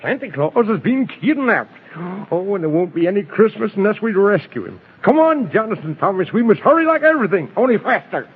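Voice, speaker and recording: A muffled, noisy old radio recording carries a kindly, flustered Man in the Moon. His fairly high voice swings widely as he fusses and frets in storybook serial style. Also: big pitch swings, a little fast, husky, full character voice. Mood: urgent. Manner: fretful, repeats exclamations. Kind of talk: vintage radio serial acting.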